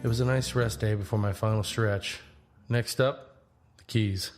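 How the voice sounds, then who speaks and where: A man's voice: fairly low pitch, fairly even intonation, unhurried, natural voice. A man playing a laid-back young traveler, telling his story in a plain, conversational first-person narration. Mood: easygoing, reflective. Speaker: narrator and lead. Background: guitar music.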